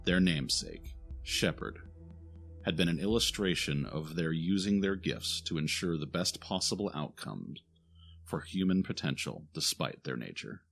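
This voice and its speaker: A man's voice: rumbling low, expressive, normal speed, vocal fry, a bit bright, faint music behind. A man reading scripted audio drama narration in a dramatic, solemn storytelling manner.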